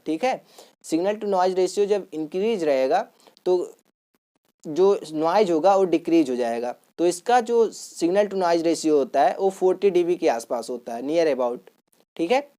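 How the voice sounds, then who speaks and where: A woman's voice: on the low side, warm tone. A woman teacher giving an explanatory lesson, going step by step, repeating points and often asking check questions. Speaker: electronics teacher.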